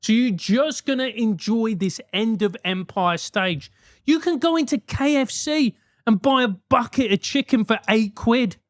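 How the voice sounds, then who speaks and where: A man's voice: extremely high pitch, measured pace, no room sound, studio quality. A man with a South London accent, a host giving advice in a casual, emphatic rant, swearing freely.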